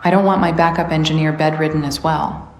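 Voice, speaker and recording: A woman playing a caring settlement leader in a video game, speaking in a measured, concerned manner. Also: deep voice, steady tone, reverberant, soft background music. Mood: relieved, concerned. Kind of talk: scripted video game dialogue.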